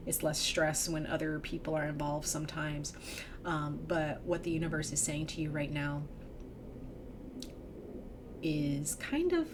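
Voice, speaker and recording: A woman with a low voice talks through a tarot reading in a loose, chatty way, full of ums and you knows. She sounds a little nasal and sniffly from a cold. The recording carries clear background noise. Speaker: tarot reader. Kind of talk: casual tarot reading to camera.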